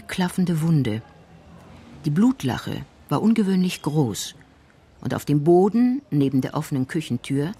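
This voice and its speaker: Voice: medium pitch, a little mellow, husky. A narrator reading the scripted prose of a crime story in a radio drama.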